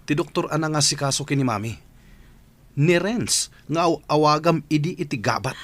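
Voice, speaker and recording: A man's voice: a little high, normal speed, fairly bright tone. A man playing an agitated, disbelieving villager in an Ilocano radio drama, with emphatic, melodramatic delivery. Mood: incredulous.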